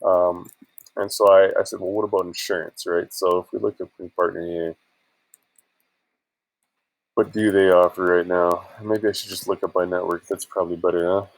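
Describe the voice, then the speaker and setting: Tired guy with a low creaky voice, rambling thru a casual vlog, kinda hesitant. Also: little pitch movement, moderate pace, poor audio. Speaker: vlogger and affiliate marketer. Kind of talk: casual screen-share vlog chat.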